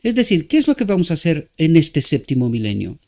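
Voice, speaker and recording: A man with a Romanian accent preaches in Spanish, his second language. His voice sits fairly high and swings widely in pitch. He halts to search for words and keeps asking his listeners to agree. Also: huge pitch swings, warm tone. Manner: halting, searching for words, frequent rhetorical "¿verdad?".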